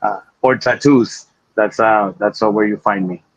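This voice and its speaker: A man speaks casually as a guest artist on a livestream. His voice is a bit low and slightly husky, recorded dry without room echo. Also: steady tone, unhurried, muffled recording. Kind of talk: casual self-promotion on a livestream.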